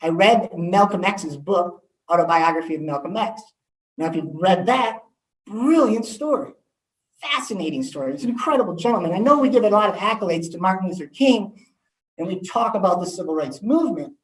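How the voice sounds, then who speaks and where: A man's voice: high voice, lively intonation, fairly quick. A man, giving a candid talk to students as an assistant principal, with frequent ums. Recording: echoey room, voice from far off.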